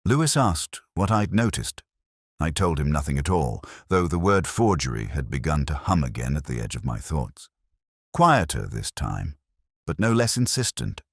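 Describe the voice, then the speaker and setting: A man narrates a first-person detective tale in an extremely deep voice. His delivery is measured and literary, with a reflective manner and lively intonation. The sound is dry, free of room echo. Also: slightly rough.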